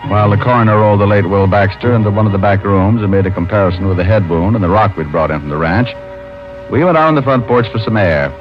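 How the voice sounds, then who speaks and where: A man's voice: on the low side, quick pace, lightly acted. A man playing a wry, wisecracking American private detective, acting and narrating in an old-time radio drama. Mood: wry narration. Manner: wry, self-mocking. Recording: dull old radio recording with music.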